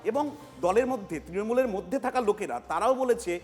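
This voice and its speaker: A man's voice: high voice, animated, fairly quick, a little mellow, big-room sound, soft background music. A man with a West Bengal Bengali accent giving emphatic political analysis on a TV panel, repeating his key phrases.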